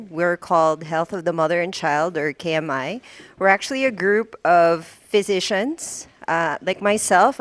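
A woman from the Philippines, a pediatrician, with a fairly low voice. She explains her work in a measured way into a handheld mic. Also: no room sound. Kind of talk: short self-introduction.

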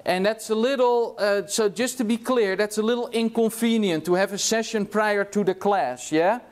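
A man's voice: sits high, normal speed. A middle-aged man from the Netherlands with a Dutch accent, giving a casual university lecture in a large hall and checking in with "yeah?" as he goes. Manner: casual, chatty, tags remarks with "yeah?". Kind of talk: classroom lecture.

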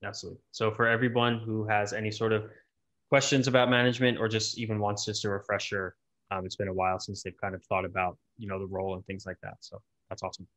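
Fast-talking low-voiced male podcast host. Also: little pitch movement, a bit bright, no room sound, low-quality recording. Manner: quick, with many fillers, asks long questions. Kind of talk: casual interview chat.

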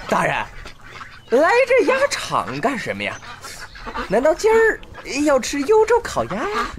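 A male actor playing an excitable, chatty patrol officer in a comedic radio drama, talking in a blustering, colloquial way. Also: extremely high pitch, measured pace, background noise, heavily acted. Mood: curious.

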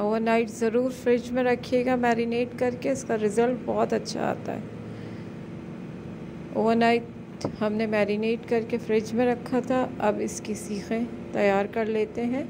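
A woman with a somewhat high voice narrates a home recipe in calm, step-by-step instructions. Her voice-over is recorded close to the mic. A steady noise and the rumble of vehicles stay clearly audible beneath her. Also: a little slow. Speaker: cooking channel host.

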